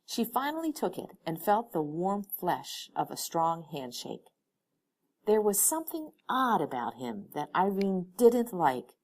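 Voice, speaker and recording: Low-voiced, animated woman narrator. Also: measured pace. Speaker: audiobook reader. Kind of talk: expressive scripted story narration.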